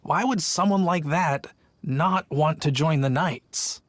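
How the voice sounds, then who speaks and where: Rambling sheepish guard. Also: male voice, fairly high pitch, takes it slowly, no room sound. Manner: rambling storyteller, defensive. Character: chatty, sheepish adult male city guard.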